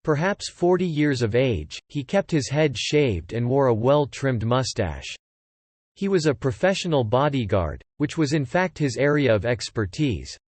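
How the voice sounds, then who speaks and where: A man reads scripted audiobook narration with lively, varied intonation. His voice sits at middle pitch and is recorded dry and close. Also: dry recording.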